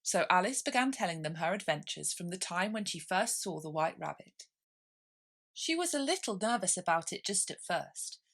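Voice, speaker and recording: Expressive woman reading a storybook. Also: on the low side, moderate pace, creaky. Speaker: storybook reader. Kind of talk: expressive scripted narration of a children's classic.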